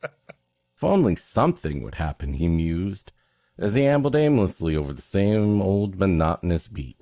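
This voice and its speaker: A man's voice: deep voice, big pitch swings, normal speed, warm tone, dry recording, clean studio sound. A man hosting a comedy podcast, mixing casual joking banter with mock-florid, dramatic reading of a story.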